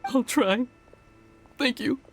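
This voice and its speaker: Slow, downcast young man. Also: very high-pitched, soft background music, exaggerated voice. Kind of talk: English video game voice acting.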